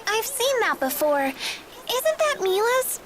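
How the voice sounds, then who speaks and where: A very high-pitched, perky teenage girl in a game, playful and curious. Her voice swings up and down as she teases, with loud noise behind it. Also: sing-song, slow, full character voice. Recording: noisy game audio capture.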